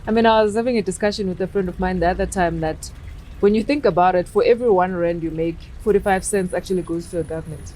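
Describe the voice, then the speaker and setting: A woman in her thirties, a guest on a podcast, answering in a measured, thoughtful way. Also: on the low side, fairly even intonation, mellow, slight background noise, poor audio. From South Africa. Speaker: guest, chartered accountant. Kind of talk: casual interview chat.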